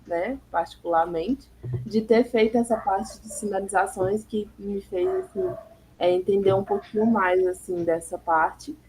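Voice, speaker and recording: A woman's voice: medium pitch, fairly even intonation, a little slow, a little mellow, a little hiss or hum, poor audio. A young woman student presenting her group's project in class, hesitant and full of fillers. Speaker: architecture student.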